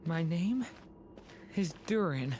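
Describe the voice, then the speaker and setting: A woman's voice: on the low side, expressive, warm tone, a little hiss or hum. Faltering, weak young dragon boy. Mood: lost, anxious. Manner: halting, faltering delivery. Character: weak, confused young boy dragon struggling to stay conscious. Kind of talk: video game voice acting. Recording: background music over voice.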